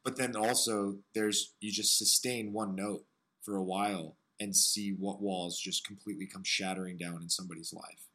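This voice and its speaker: A man with a smoky, raspy voice hosting an interview, talking earnestly and searchingly about music and his own struggles. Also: deep voice, fairly even intonation, normal speed, pushed and bright. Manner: earnest, searching, piles up phrases. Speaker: podcast host. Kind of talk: reflective interview talk.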